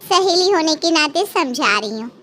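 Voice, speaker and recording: A woman's voice: high-pitched, expressive, normal speed, fairly bright tone, a little hiss or hum. A woman voicing a nosy, concerned neighbour who asks and advises earnestly in a cartoon story. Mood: earnest advising. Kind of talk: Hindi cartoon moral story acting.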